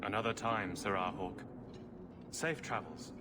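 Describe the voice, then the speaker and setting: An actor in a video game playing a loyal, proud guardsman with a formal, measured, sincere manner. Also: lowish voice, very noisy, close to an everyday voice. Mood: courteous, proud.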